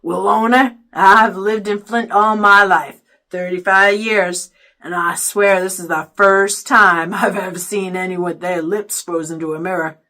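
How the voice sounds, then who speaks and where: A woman's voice: medium pitch, fairly even intonation, unhurried, sharp tone. A woman voicing an amused, easygoing father in his thirties who teases wryly, in an audiobook story. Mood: amused, baffled.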